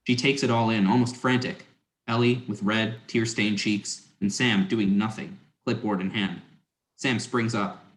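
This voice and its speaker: A man serves as narrator for a dramatic script reading. His voice is on the low side, delivering scripted stage directions. The sound is noticeably echoey. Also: fairly even intonation, measured pace. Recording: roomy, echoing sound.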